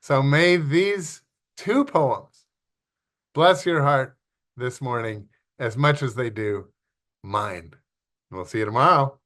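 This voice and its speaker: Slow-talking man in an echoey room. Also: mid-range voice, fairly bright tone. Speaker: host introducing a performer. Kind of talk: informal spoken introduction. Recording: echoey room, distant mic.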